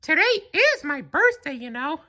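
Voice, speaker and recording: A woman voicing an excitable, gushing male cartoon mouse in a squeaky falsetto for a children's storybook. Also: a little slow, heavily acted. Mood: delighted. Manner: gushing, exclaiming.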